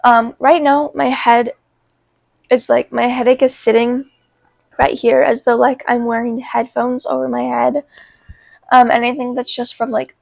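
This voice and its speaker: A young woman vlogging casually about her life, congested with a cold, talking in an informal, halting way. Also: on the high side, level tone, creaky, no echo. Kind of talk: casual chat to camera.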